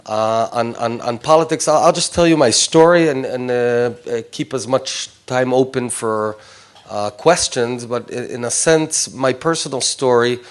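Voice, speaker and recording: A man's voice: mid-range voice, measured pace. A man in his forties from Israel, a Hebrew speaker talking in English, telling his personal story to a visiting group in a hesitant way with many fillers. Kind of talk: informal personal talk.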